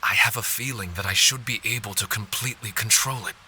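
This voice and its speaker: A man's voice: on the low side, fairly quick, pushed and bright, a little hiss or hum. A man playing an earnest, apologetic amnesiac spirit guardian in a video game, speaking formally and politely.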